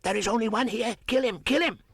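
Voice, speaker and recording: Shrill loud monkey for an audiobook, excitable and full of itself, high and scratchy. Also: male voice, a little fast, gravelly, breathy edge, no echo, studio quality, exaggerated voice. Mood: savage glee. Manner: loud, declaiming, gleeful. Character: boastful, shrill, excitable jungle monkeys shouting.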